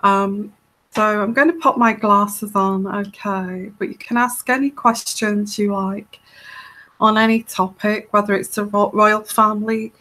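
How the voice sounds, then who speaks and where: Soft-voiced woman chatting slowly. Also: mid-range voice, fairly even intonation. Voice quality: soft, soothing voice. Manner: rambling, hesitant, many fillers and restarts. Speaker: tarot reader hosting a live Q&A. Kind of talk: casual live-stream chat.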